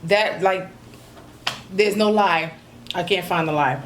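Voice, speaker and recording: A woman's voice: lowish voice, takes it slowly, a little hiss or hum. A woman, chatting casually through a vacation vlog recap with lots of ums and you-knows. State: slightly hoarse. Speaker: vlogger.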